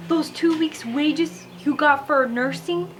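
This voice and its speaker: A woman's voice: sits high, slow, a little hiss or hum. A woman voicing a worried, earnest father in a children's bedtime story. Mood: anxious.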